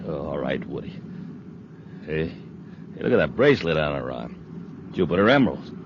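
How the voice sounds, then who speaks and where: A man's voice: very deep voice, sing-song, normal speed, heavily acted. An actor playing a greedy, hot-tempered gangster henchman with menacing tough-guy slang in a 1950s radio adventure serial. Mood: greedy, gloating. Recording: dull, noisy old radio recording.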